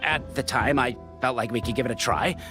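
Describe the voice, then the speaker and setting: A man voicing a sheepish soldier in a video game, halting and apologetic as he explains himself. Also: mid-range voice, over music, natural voice. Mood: sheepish, uneasy. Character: hesitant male soldier confessing sheepishly to superior.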